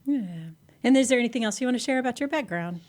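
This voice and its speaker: Female host, fairly high voice, conversational panel moderating. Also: expressive, quick pace, a little mellow.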